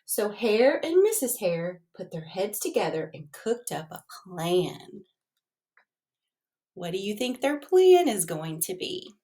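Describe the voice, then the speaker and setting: Slow-paced woman reading a children's story aloud. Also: medium pitch. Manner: expressive, unhurried, pausing to question the listeners. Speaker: teacher reading aloud to their class. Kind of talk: storybook read-aloud for children with asides and questions.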